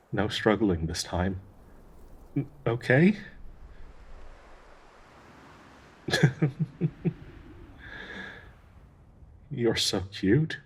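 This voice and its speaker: Guy acting as a soft husky dryad lover, slow n stammery, tender, nervously hopeful, audio roleplay. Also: on the low side. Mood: tender, coaxing. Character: gentle male dryad tree spirit, devoted, anxiously hopeful lover. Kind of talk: intimate scripted audio roleplay.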